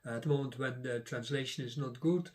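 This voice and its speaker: Dutch man explaining in a halting way. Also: medium pitch, fairly even intonation, a little mellow. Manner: rambling, many filler sounds and restarts. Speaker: Taoist teacher and channel host. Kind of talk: casual explanatory lesson.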